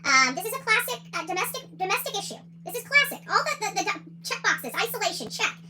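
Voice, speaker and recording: A woman's voice: extremely high pitch, sing-song, fast, very noisy. A woman hosting a livestream, giving opinionated commentary in a combative, indignant and emphatic way, often repeating phrases for emphasis. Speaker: livestream host.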